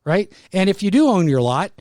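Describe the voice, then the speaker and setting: A man's voice: big pitch swings, fairly quick, a little mellow, no room sound, studio quality. Salesy sixty-something man radio host. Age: 60s. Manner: salesy, pitching investment with emphatic repetition. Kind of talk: radio talk show.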